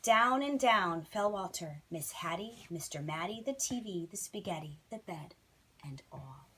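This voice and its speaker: Bright, animated woman reading a bedtime story. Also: lowish voice, a little slow, a little hiss or hum, poor audio. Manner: dramatic, emphatic phrasing. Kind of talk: expressive children's story reading.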